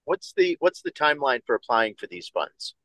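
A man representing a school district, asking halting questions on a video call. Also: medium pitch, very animated, bright, forceful tone, no echo, poor audio. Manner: halting, restarting sentences.

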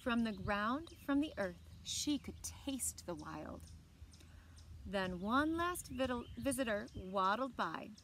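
Female story time reader, thirties. Slightly high voice, expressive, deliberate read-aloud, recorded outside with birds and background noise. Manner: measured, deliberate phrasing with dramatic emphasis. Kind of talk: expressive children's picture book read-aloud. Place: outdoors on a lawn by trees. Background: outdoor ambience, birds.

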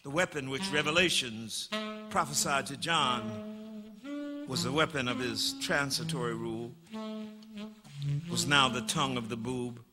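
A man performing dramatic spoken-word poetry, emphatic and incantatory, with drawn-out words and repeated phrases. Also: medium pitch. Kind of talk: dramatic political poetry performance over saxophone. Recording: long hall reverb, saxophone playing along. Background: live saxophone music.

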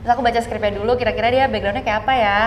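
A woman's voice: a little high, steady tone, rapid-fire, reverberant, long reverb tail, slight background noise. A young woman from Indonesia answering interview questions casually, mixing English words into her slangy talk. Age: young adult. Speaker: actress being interviewed. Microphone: clip-on lapel mic.

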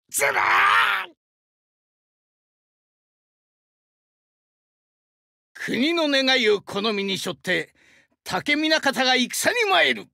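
A male actor voicing a burly, friendly young sumo-wrestler god in an anime game, with rough, hearty speech and a rural Shinshu Japanese dialect. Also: very high-pitched, sharp tone, dry recording, clean studio sound, exaggerated voice. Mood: fierce, battle-ready. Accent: rural central Japanese dialect (Shinshu). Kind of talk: anime game character voice lines.

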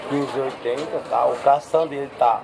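A man's voice: mid-range voice, fairly even intonation, normal speed, creaky. A man with a cold vlogging as he walks an outdoor street market, chatting casually with stallholders and addressing his viewers. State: has a cold. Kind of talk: casual walk-around vlog chat. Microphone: handheld phone. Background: busy market crowd.

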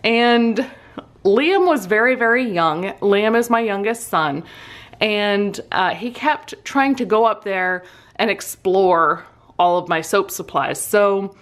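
A middle-aged woman casually telling a personal story in an amused, self-deprecating, animated way, as a vlogger would. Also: mid-range voice. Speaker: vlogger and soap maker.

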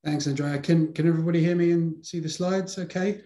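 A man's voice: on the high side, steady tone, brisk, mellow, roomy sound. A man with a London accent giving a webinar presentation over a video call, hesitant with many fillers. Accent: London English. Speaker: sales presenter.

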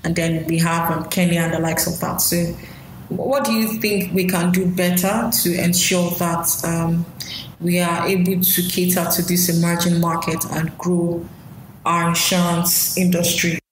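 A woman hosts a podcast and asks long, hesitant questions full of fillers, in a lowish, flat voice. Her voice bounces around a very echoey room, and faint noise sits under it. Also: measured pace. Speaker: podcast co-host. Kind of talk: interview questions.

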